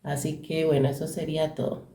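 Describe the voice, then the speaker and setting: A woman's voice: sits low, little pitch movement, brisk, gravelly, background noise. A woman on staff giving an earnest personal testimony with fillers. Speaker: staff member. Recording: echoey room.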